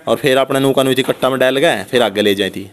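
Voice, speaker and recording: A young man from Haryana vlogs in a casual, chatty way with a Haryanvi accent. His voice sits at an average male pitch. He speaks into a handheld phone, addressing his viewers like brothers. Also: fast. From Haryana, India. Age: young adult. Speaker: vlogger. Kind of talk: casual vlog commentary. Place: unfinished concrete house, indoors and at doorway.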